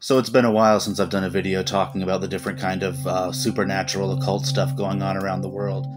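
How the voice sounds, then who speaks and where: A man's voice: deep voice, little pitch movement, fast. A man hosting paranormal videos in a casual, matter-of-fact, conversational explainer style. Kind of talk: casual explainer commentary to camera. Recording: music playing behind the voice. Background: loud background music.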